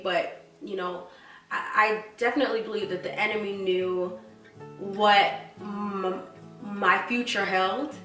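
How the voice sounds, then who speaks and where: A woman's voice: medium pitch, fairly bright tone, echoey room, far from the mic. A woman with a stutter, talking in a casual, reflective personal chat to her viewers, with fillers and restarts. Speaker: vlogger.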